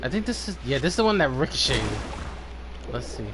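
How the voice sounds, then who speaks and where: A man's voice: mid-range voice, animated, brisk, background noise. A man giving casual live gameplay commentary, informally muttering to himself and swearing. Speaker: game streamer.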